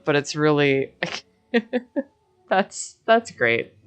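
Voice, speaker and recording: Low-voiced American woman, slow and casual. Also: sing-song, slight background noise. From Michigan, United States. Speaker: game livestream host. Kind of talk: casual livestream chat while gaming.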